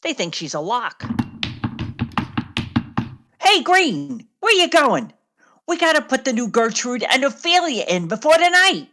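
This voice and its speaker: An actress playing a theater stage manager delivering grim news in a radio play. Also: female voice, sits high, sing-song, measured pace, pauses often, sharp tone, exaggerated voice. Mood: urgent, calling out.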